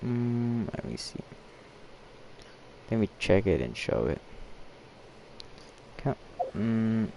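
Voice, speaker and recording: A man giving casual gameplay commentary as a streamer, halting and half mumbling to himself. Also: on the low side, sing-song, creaky, dark tone, a little hiss or hum, poor audio. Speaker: game streamer.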